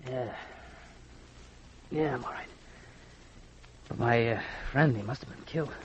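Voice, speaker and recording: An actor playing a nervous, guilt-haunted American robber in an old-time radio drama, hesitant and excitable. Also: male voice, medium pitch, a little slow, close to an everyday voice. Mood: agitated, defensive. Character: jittery, guilt-haunted male accomplice in a train robbery. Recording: dull old radio recording, faint noise.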